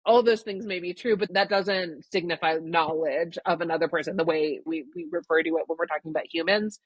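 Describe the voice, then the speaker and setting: A woman from Canada, a guest author answering podcast interview questions in a thoughtful, measured way that qualifies her claims. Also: on the low side, breathy edge, no room sound, muffled recording.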